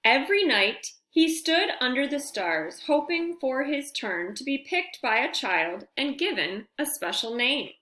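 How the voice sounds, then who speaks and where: A woman reading a picture book aloud to young children, with expressive, deliberate phrasing and friendly asides. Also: high voice, roomy sound.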